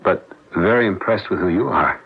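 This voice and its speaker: Deep, husky, concerned husband. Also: male voice, moderate pace, heavily acted. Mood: gentle, steady. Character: concerned, reasonable husband comforting his grieving wife. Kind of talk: radio drama acting. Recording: muffled old radio broadcast.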